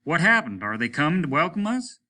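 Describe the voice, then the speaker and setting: A man reading a story and voicing an irritable, weary, aging rocket captain who is commanding and scornful, snapping out indignant questions in a sharp voice. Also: on the high side, very animated, brisk, pitched above the performer's own voice, heavily acted. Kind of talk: audiobook character voice.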